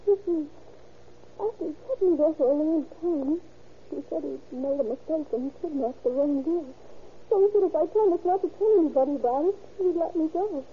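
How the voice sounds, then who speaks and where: A woman acts a scared young girl in an old-time radio drama, with a high, small voice. She is earnest and polite, stumbling and repeating words. The recording is tinny and faintly noisy. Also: close to an everyday voice. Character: frightened, earnest thirteen-year-old girl, a kidnap victim. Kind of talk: classic radio drama acting.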